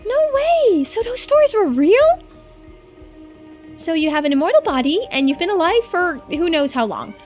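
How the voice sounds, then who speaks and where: Woman plays trusting, easily astonished teen boy for a visual novel; animated, casual, exclamatory delivery. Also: very high voice, normal speed, faint music behind. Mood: amazed. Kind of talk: voiced visual novel playthrough.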